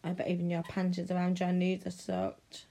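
Woman for a teasing, commanding audio roleplay: dark, breathy tone, unhurried. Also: on the low side, completely flat delivery. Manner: slow, domineering and teasing, slipping into whispers. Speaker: roleplay performer.

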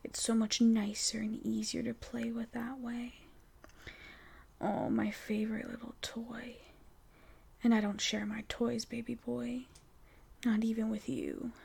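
Woman, whispered roleplay voice, teasing and coaxing, mellow with a gravelly edge. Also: on the high side, flat delivery, measured pace, pauses often. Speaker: audio roleplay performer.

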